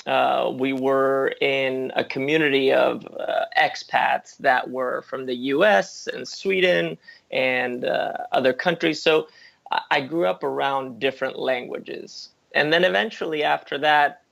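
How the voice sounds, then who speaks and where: A man born in the US and raised in Spain, answering interview questions in a thoughtful way, with many pauses and restarts. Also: medium pitch, creaky, fairly bright tone. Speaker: interview guest.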